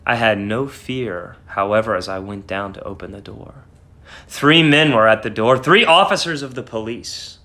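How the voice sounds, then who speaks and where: A man playing a mad, guilt-ridden murderer narrating a horror story, dramatic, shifting between hushed and frantic. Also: fairly low pitch, normal speed. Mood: cold, boastful. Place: small home room.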